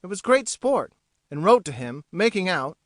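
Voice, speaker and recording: A woman doing a smug, lofty young gentleman. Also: fairly low pitch, big pitch swings, moderate pace, no echo, clean studio sound, voice pushed down. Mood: amused. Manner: arch, lofty, playful. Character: smug teasing young gentleman, mocking snobs. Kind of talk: audiobook character voice.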